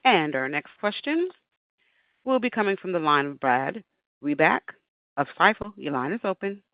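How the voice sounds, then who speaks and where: A man's voice: fairly high pitch, very animated, normal speed, no echo. A man working as a conference call operator, making formulaic announcements between questions. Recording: dry, dull conference call line.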